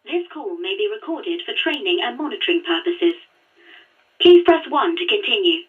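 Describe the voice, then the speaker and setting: A woman's voice: on the high side, big pitch swings. A woman playing a polished, impersonal automated hotline announcer, courteous and formulaic, in an audio drama. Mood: polite, impersonal. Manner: courteous, formulaic, measured phrasing. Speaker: recorded phone menu voice. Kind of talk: scripted corporate announcement. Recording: dull, distant phone-line playback.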